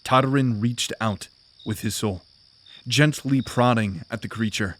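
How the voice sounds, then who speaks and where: A man gives scripted narration for a full-cast fantasy audio drama. His delivery is measured and descriptive, at an ordinary middle pitch, and it carries the story forward steadily. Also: a bit bright, slight background noise.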